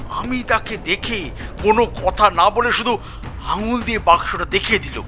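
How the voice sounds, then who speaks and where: An excitable police constable in a detective audio drama. The voice is high and very bright, swinging dramatically as he tells of a grisly find, with faint music behind. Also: heavily acted. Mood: alarmed. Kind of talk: dramatic Bengali audio story acting.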